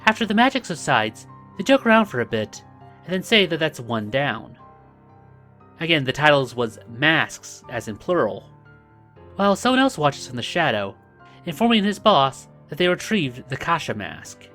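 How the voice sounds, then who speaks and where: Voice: mid-range voice, very animated, normal speed, fairly bright tone. A video host narrating a comic recap in a scripted but casual style, with asides along the way. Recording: music behind the voice. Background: background music.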